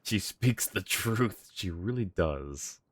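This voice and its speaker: A man's voice: sits low, animated, slow. A man giving casual, wry livestream commentary on a game in an informal way. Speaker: game streamer.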